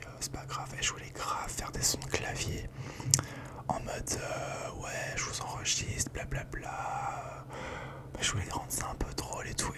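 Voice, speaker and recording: Chatty whispering young man, ASMR ramble. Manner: whispered throughout, chatty, slangy, rambling with many fillers. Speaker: ASMR creator. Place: at home.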